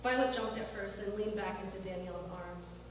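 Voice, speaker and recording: Woman author, measured reading voice, far from the mic, big hall reverb. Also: mid-range voice, fairly even intonation. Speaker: author reading her fiction. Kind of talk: literary reading at a live event. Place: large former church hall. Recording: distant mic in a very echoey hall, faint noise.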